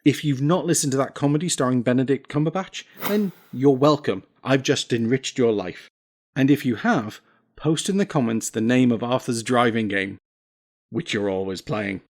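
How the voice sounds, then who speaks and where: A man narrating a scripted software tutorial, giving clear step-by-step instructions with wry, self-deprecating asides. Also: mid-range voice, moderate pace. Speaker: tutorial presenter.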